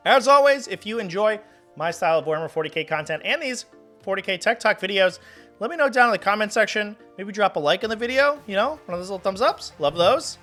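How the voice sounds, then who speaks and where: A young man with a slightly hoarse voice and a bright, forceful tone hosts a tabletop gaming show. He talks in a chatty, upbeat way, his pitch swinging lively up and down over soft background music. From New England, US. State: hoarse from a cold. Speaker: host. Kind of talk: tabletop game tournament commentary.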